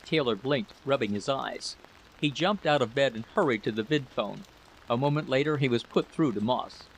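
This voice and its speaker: A man's voice: mid-range voice, moderate pace. A man reading a scripted dramatic story as its narrator, on a noisy recording.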